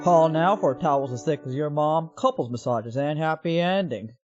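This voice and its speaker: Man as cheesy ad pitchman, spoof commercial, slightly high pitch, lo-fi, music behind. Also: moderate pace, close to an everyday voice. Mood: salesy. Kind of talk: parody advert.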